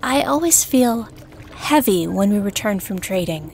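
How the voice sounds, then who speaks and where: A woman playing an uneasy, pensive swamp villager who makes dyes, speaking in a measured way in a fantasy audio drama. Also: mid-range voice, expressive, darkish tone, a little hiss or hum.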